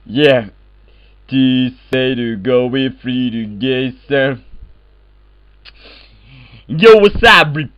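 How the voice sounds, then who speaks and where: Slow, bright-toned goofy man on voice chat. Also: medium pitch, clear, resonant tone. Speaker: voice chat player. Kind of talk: goofy game voice chat banter.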